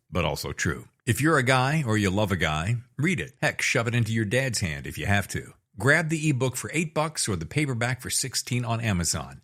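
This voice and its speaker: A man's voice: mid-range voice, fairly bright tone. A man delivering a scripted promo for his own book, speaking directly and bluntly with wry humour.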